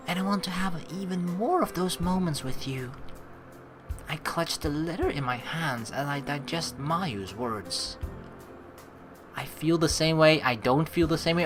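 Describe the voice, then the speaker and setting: A woman doing gameplay commentary, reading story text aloud expressively and reacting to it in an animated way. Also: low-pitched. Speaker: let's play host reading a visual novel aloud. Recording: game music behind the voice. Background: game music.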